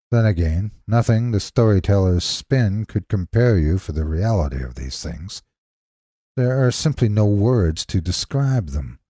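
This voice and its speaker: A man with a low, husky voice tells a horror tale in dramatic, deliberate phrases. His delivery swings widely in pitch to build suspense. The recording is dry and close. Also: dark tone, dry recording. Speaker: horror story narrator. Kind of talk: scripted dramatic horror narration.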